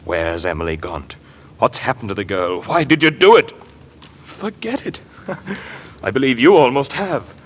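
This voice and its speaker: An actor playing a sensitive, troubled, self-effacing gentleman in an old-time radio drama, speaking with earnest feeling. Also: mid-range voice, expressive, a little slow, slightly rough. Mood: bitter, anguished. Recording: noisy old radio broadcast recording.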